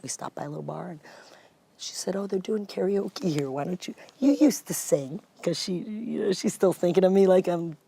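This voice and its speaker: A woman musician telling her story in a relaxed interview, animated and chatty, calling people "man.". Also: lowish voice, normal speed, gravelly, a little hiss or hum. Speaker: guest musician and vocalist. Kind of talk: casual interview chat.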